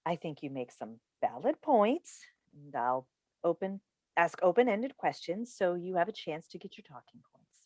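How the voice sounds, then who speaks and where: A woman's voice: on the low side, big pitch swings, moderate pace, darkish tone, no echo. A woman in a staged play reading, playing a seasoned, composed talk show host and mother who tries to placate people. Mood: placating, professional. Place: indoors on a Zoom call. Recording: dry video call recording.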